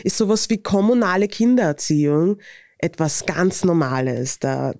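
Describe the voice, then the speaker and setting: A woman with a Viennese accent answers interview questions in a lively, candid way and laughs easily. Her voice sits a little low. The sound is dry and close, with no room echo. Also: moderate pace. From Vienna, Austria. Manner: lively, frank, laughs often. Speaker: author and interviewee.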